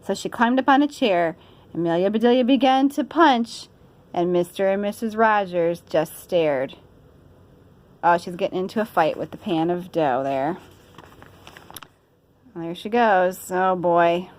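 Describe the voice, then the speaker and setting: A woman's voice: lowish voice, measured pace, long pauses. A woman reading a children's story aloud, playfully, with chatty asides. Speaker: storybook reader. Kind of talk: animated children's story narration.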